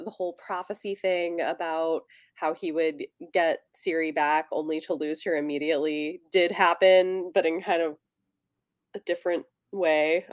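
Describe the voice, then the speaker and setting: A woman with a slightly low voice talks in a dry, close recording. She co-hosts a casual book chat, feeling her way through thoughts and revising her phrasing as she speaks. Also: dry recording. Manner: hesitant, self-correcting, tentative phrasing. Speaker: podcast co-host.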